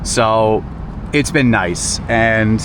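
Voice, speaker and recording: A man in his thirties vlogging casually from a moving convertible, relaxed and chatty with frequent fillers. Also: lowish voice, extremely slow. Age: 30s. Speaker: YouTube creator. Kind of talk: casual vlog while driving. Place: inside a convertible car on the road. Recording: loud road noise in a moving car. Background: loud road and engine noise.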